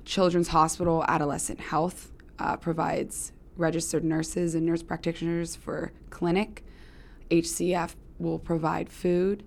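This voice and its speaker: A young woman, an intern, explaining a youth program in an interview, with frequent ums. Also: on the low side. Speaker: intern and show coordinator. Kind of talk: interview answers.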